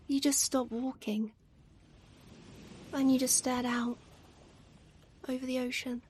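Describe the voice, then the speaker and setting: A woman playing a tender, doting mother in an ASMR roleplay, speaking softly and affectionately, sometimes nearly whispering. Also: a little high. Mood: gentle, reminiscing. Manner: soft, affectionate, gently murmuring, sometimes near whisper. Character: tender, doting, playfully self-deprecating mother comforting her pet. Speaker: ASMR performer. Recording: steady ambient noise, ocean waves. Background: ocean waves ambience.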